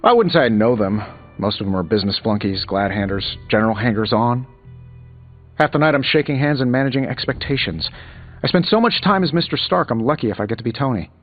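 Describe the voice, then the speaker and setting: Actor as glib, lively billionaire hero. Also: male voice, mid-range voice, fairly quick, close to an everyday voice. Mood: wry. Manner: wry, flippant banter. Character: glib, quippy billionaire hero, adult man. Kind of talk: video game character dialogue. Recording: slightly dull, music behind.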